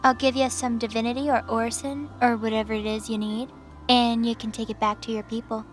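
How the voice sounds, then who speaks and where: A woman's voice: a little high, little pitch movement, brisk, faint music behind, lightly acted. A woman voicing a proud, bossy, threatening young phantom queen in an audio drama. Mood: smug, coaxing. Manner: bossy, brash, taunting. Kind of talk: audio drama character acting.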